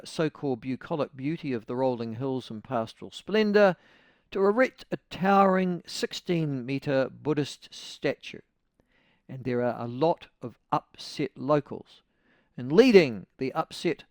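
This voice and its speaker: A man's voice: mid-range voice, darkish tone, no echo. A man hosting a talk radio interview, wry and conversational, with leading questions. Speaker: radio host.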